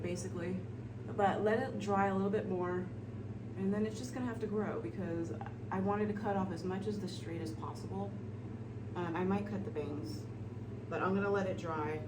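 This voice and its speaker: A woman's voice: lowish voice, reverberant, recorded from a distance. A woman vlogging casually, chatting and telling stories from home and her car. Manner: chatty, storytelling, sometimes whispering. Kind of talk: casual vlog chat. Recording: vehicle noise in background.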